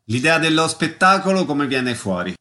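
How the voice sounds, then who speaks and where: A man's voice: a little high, sing-song, normal speed, bright, forceful tone. A man hosting a radio interview, giving concise prompts and formal announcements.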